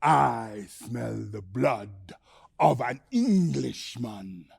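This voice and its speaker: Woman playing a slow, menacing, low giant. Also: big pitch swings, creaky, a little mellow, husky, full character voice. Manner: slow, drawn-out menacing chant. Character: huge fearsome menacing male giant, pantomime villain. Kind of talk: theatrical pantomime bedtime storytelling.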